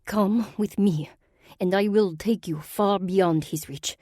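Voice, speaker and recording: A woman's voice: medium pitch, takes it slowly, mellow, no echo, clean studio sound. A woman voicing an elegant older sorceress, speaking serenely and coaxingly with a cold edge underneath. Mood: silky menace.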